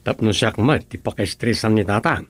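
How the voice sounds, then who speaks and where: A man's voice: fairly low pitch, fairly quick, lightly acted. An actor playing an earnest, put-upon younger village man in a rural comedy radio drama, plaintive and conversational. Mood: resigned.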